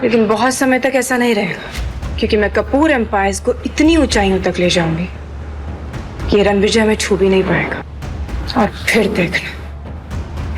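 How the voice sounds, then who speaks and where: A woman's voice: fairly high pitch, close to an everyday voice. A woman playing a proud, scornful CEO and betrayed ex-wife in a Hindi audio drama, indignant and cutting. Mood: proud, determined.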